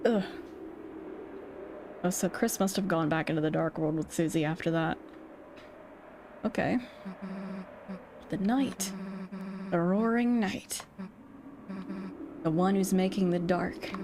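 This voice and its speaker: A woman's voice: fairly low pitch, slow pace, long pauses. A woman with a scratchy, strained voice, talking bluntly through a game and swearing often. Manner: blunt, frequent swearing, rambling. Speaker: gaming streamer. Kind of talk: casual gameplay commentary. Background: game music.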